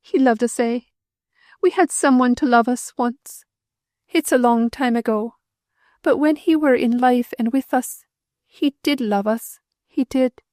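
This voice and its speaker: A woman's voice: a little high, a little slow, darkish tone, dry recording, clean studio sound, pitched above the performer's own voice, natural voice. A woman voicing an ailing, grieving poor wife with a put-on Northern English dialect, querulous and rising into wild pleading. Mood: frantic grief.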